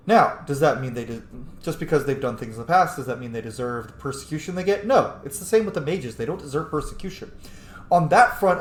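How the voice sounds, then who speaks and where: A man talks casually through a game playthrough, musing and emphatic. His pitch swings widely from phrase to phrase. The room is echoey, with faint music underneath. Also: a little mellow. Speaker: gamer, host of a roleplay playthrough.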